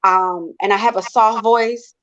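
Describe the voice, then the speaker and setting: An American woman in a casual panel discussion, speaking in an earnest, expressive, conversational way. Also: mid-range voice, unhurried, a little hiss or hum. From United States. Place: online video call. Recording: dull, lo-fi online call.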